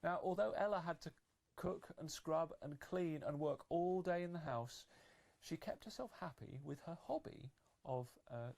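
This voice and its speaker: A woman's voice: low voice, expressive, normal speed, creaky, darkish tone. A woman telling a fairytale to children as a live storyteller, agreeable and improvising as she goes.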